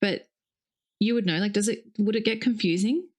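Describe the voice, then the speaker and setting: A woman's voice: medium pitch, moderate pace, darkish tone. A woman co-hosting a podcast, chatting casually and laughing often. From Australia. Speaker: podcast co-host. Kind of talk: casual chat.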